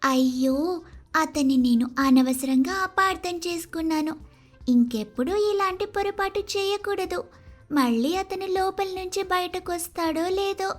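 Woman as a high, haughty young girl dog. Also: measured pace, faint music behind. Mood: regretful. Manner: haughty, melodramatic. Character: vain, haughty young female dog, proud of beauty. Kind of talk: animated moral story voice acting.

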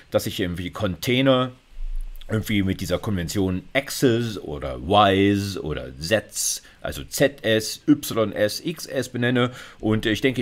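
A middle-aged man lectures in a conversational, explanatory way. His voice sits on the low side, with highly varied, animated intonation. He often checks in with short tags as he walks through the material. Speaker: university lecturer. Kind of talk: informal explanatory lecture over slides.

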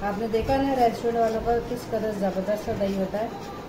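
A woman's voice: on the high side, steady tone, fairly quick. Woman explaining recipes over background noise. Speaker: home cook, cooking channel host. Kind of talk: casual recipe tutorial narration.

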